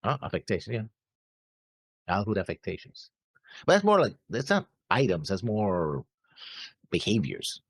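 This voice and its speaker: A man's voice: fairly low pitch, very animated, a little slow, pauses often, breathy edge, no room sound. A man co-hosting an anime reaction show, laid-back and agreeable, talking with lots of fillers. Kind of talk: casual discussion.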